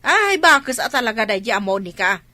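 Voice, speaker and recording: Woman playing gossipy snarky warehouse worker, sharp voice, radio drama. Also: on the high side, very animated, brisk, dry recording. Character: gossipy, mocking female fruit-warehouse worker. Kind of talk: dialogue in an Ilocano audio drama.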